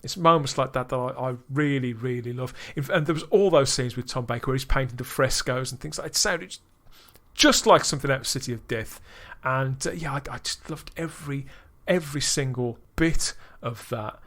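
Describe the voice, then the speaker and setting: A man's voice: mid-range voice, a little fast, fairly bright tone. A man hosting a podcast review chat, enthusiastic and pulling his co-hosts in with tag questions. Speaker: podcast host. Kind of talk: casual review discussion.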